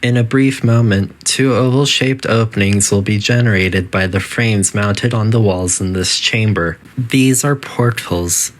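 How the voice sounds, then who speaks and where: A performer voicing a polite, coolly menacing computer announcer in a video game, speaking in a formal, measured, detached way. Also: medium pitch, lightly acted. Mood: calm, instructive. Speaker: facility announcer guiding test subjects.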